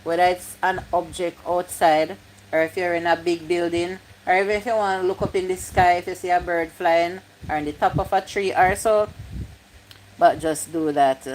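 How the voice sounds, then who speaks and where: A woman's voice: fairly low pitch, fairly even intonation, moderate pace, a little hiss or hum, rough recording. A woman, chatting casually on a livestream, reading comments aloud and repeating her points. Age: 50s. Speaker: YouTube live streamer.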